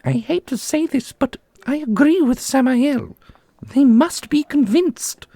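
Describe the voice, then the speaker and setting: A woman playing a weary, solemn ancient divine guardian in an ASMR fantasy roleplay, soft and husky, with measured speech. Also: high-pitched, no room sound. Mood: grave.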